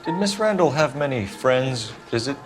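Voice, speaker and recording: A man's voice: medium pitch, expressive, moderate pace, lightly acted. An actor playing a firm, probing American police detective in a video game, clipped and formal in his questioning. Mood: probing, pressing. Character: firm, probing male 1940s Los Angeles police detective. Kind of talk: video game cutscene acting. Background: music.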